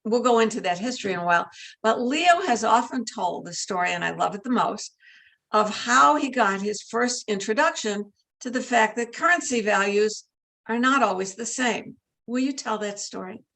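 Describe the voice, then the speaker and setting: A woman's voice: on the high side, expressive, moderate pace, a bit bright. A woman interviewing a guest, enthusiastic and inviting him to tell his stories. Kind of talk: conversational interview.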